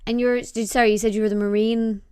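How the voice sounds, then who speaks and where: A woman with a slightly high voice hosts a casual interview. She sounds curious and conversational as she puts questions to her guest. The recording is close and dry, free of room echo. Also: little pitch movement, quick pace, darkish tone, smooth. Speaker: podcast host, interviewer.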